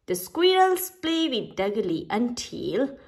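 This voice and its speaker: A woman reading a children's picture book aloud expressively, pausing after short phrases. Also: fairly high pitch, sing-song, darkish tone. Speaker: storybook reader.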